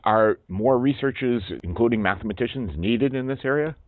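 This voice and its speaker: A man hosting a radio interview, asking brisk, measured questions. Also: mid-range voice, dry recording. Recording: tinny phone-like line.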